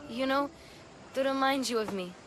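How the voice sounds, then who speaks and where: A woman's voice: high-pitched, moderate pace, noisy background, close to an everyday voice. A woman playing a plucky, affectionate little girl in a video game, with an eager, earnest way of talking. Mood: pleading, tender. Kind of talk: cinematic video game acting.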